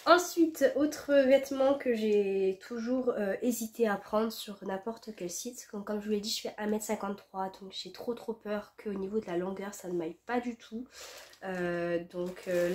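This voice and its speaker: A young woman speaks with the stuffy, congested voice of a head cold. She talks casually to her camera at home, rambling and restarting with lots of fillers at an ordinary middle pitch. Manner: chatty, many fillers and restarts. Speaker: fashion vlogger. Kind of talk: casual haul chat to camera. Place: at home, indoors.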